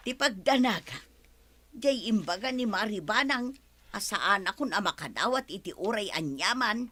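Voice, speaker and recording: Animated gossipy village woman. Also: medium pitch. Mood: indignant. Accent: Ilocano. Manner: animated, exclaiming, drawn-out protests. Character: gossipy village woman, defensive and easily flustered. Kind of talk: Ilocano radio comedy-drama acting.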